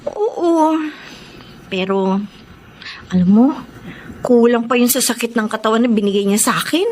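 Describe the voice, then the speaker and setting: A woman's voice: fairly high pitch, unhurried, a little mellow, a little hiss or hum, close to an everyday voice. A woman playing a weary but resilient young single mother in a Filipino radio drama, speaking plainly and with feeling. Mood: weary, bitter. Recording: music bed behind the voice.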